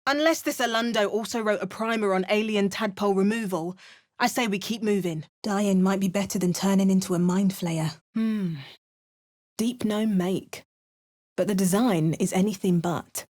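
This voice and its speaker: A woman's voice: mid-range voice, normal speed, darkish tone, natural voice. A woman voicing a wry young adventurer heroine. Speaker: player character voice. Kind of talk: video game voice lines.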